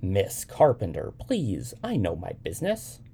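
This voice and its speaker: A man reads as a playful, odd young man in a literary story. He speaks with mock gravity and animated pitch, in a low, creaky voice. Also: a little slow, a little hiss or hum, exaggerated voice. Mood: teasing, playful. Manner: whimsical, mock-serious storytelling. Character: playful, eccentric young man teasing a child, edgy with adults.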